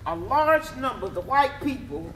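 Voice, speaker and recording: A woman's voice: high voice, lively intonation, moderate pace, poor audio. A woman on stage playing an earnest, emphatic narrator, speaking phrase by phrase in scripted readers theatre. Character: female narrator explaining civil rights strategy, earnest, emphatic. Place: live stage performance. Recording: live stage with clear crowd sound.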